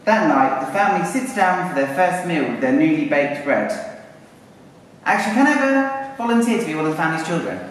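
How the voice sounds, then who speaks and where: Lively man narrating in echoey hall. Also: fairly high pitch, brisk. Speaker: puppet show narrator. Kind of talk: live storytelling to an audience. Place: arts centre performance space. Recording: very echoey hall, distant mic, faint noise.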